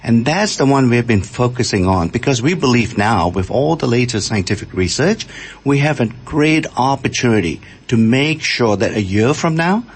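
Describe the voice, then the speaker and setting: An older man from Malaysia, a scientist and interview guest, explaining his points with halting starts. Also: medium pitch, expressive, slight background noise. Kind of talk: radio interview answers.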